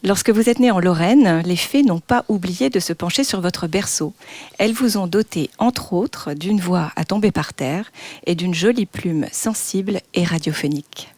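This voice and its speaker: A woman's voice: fairly low pitch, normal speed, dry recording. A woman co-hosting a podcast, reading a prepared introduction and welcoming her guest. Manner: reads prepared text, warm laughs between lines. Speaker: podcast co-host. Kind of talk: scripted podcast intro and interview.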